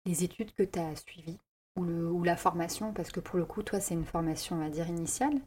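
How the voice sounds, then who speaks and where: A woman's voice: on the low side, steady tone, fairly quick, a little mellow, slightly rough. A woman hosting a podcast, reading a scripted intro and then asking friendly, casual interview questions. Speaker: podcast host.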